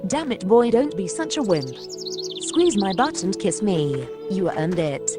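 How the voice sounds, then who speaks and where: Woman acting a bold, animated action heroine. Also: mid-range voice, measured pace, natural voice. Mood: teasing, bossy. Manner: brash, teasing, commanding. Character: Jasmine, bold, bossy, flirtatious gun-toting female survivor. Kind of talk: audio drama acting. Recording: music under the voice.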